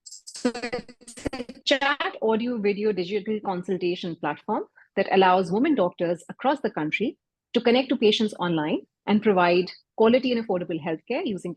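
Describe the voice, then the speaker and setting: A Pakistani-accented woman speaks in a slightly breathy, husky voice, earnest and measured as she addresses an event audience. Her first language is Urdu, and she now and then restarts a phrase. The sound is close and dry, with no room echo. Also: mid-range voice, expressive. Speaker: doctor and health startup founder. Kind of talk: event talk.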